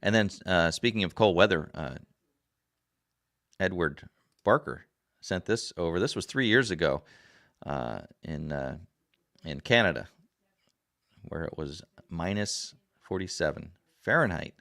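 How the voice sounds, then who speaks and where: A man hosting a casual livestream and reading viewer comments aloud, his voice raspy after a sore throat, rambling in a folksy way with many fillers. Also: low-pitched, animated, measured pace, long pauses, a bit bright, dry recording, studio quality. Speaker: livestream host. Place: home desk. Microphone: desk camera.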